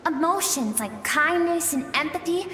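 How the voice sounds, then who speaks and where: An actress playing the suppressed emotional self of a warrior woman in a video game, speaking in a solemn, measured and earnest way. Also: high-pitched, lively intonation, a bit bright, a little hiss or hum. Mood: pleading, insistent. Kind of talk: dramatic video game voice acting, storytelling monologue. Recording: echoey reverberant hall effect, music behind.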